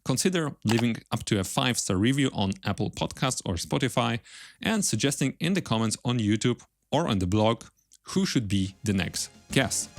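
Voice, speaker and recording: A man hosting an interview podcast, speaking in a polite, measured way with formal phrasing. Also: on the low side. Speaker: podcast host. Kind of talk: interview, then podcast sign-off.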